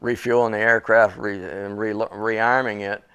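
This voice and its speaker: An older man with a somewhat low-pitched voice speaks plainly and matter-of-factly. He sounds like an interview guest telling his story. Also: flat delivery, measured pace, sharp tone, clean tone. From Western Massachusetts. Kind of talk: interview guest recounting memories.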